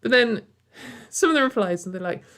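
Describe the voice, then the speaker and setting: A woman's voice: fairly high pitch, expressive, a little fast, a bit bright. A woman hosting a casual commentary video from home, sarcastic and exasperated, with frequent sighs and fillers.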